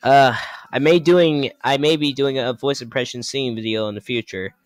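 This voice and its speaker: A man's voice: medium pitch, fairly even intonation, moderate pace, no room sound. A teenage boy YouTuber chatting casually to camera on a headset mic, often stalling and muttering as he thinks. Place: bedroom. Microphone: gaming headset.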